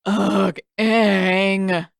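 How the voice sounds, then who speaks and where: A woman's voice: mid-range voice, level tone, extremely slow, creaky, dry recording, studio quality. A woman voicing a sarcastic, complaining teenage boy who grumbles through a story reading.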